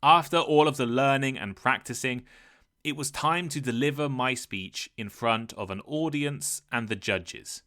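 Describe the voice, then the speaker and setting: A man hosting a podcast, talking through a clear, measured, scripted lesson. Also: medium pitch, pushed and bright. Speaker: podcast host. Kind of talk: scripted educational monologue.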